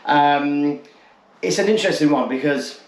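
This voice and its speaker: A man's voice: fairly high pitch, measured pace. A man, talking casually and candidly to camera as a vlogger, rambling a little and rephrasing himself as he goes. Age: late 40s. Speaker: vlogger introducing his channel. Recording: echoey untreated room.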